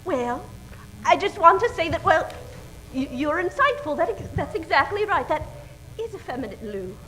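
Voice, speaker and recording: An actress playing a nervous, chatty waitress who stammers and babbles in an old-time radio comedy. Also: female voice, extremely high pitch, sing-song, moderate pace, sharp tone, slight background noise, exaggerated voice, rough recording. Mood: nervous, flustered.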